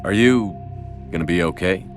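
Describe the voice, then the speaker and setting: A man playing a calm, dry, resolute military commander in video game cutscene dialogue. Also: deep voice, moderate pace, music in the background, natural voice. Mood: dry, supportive.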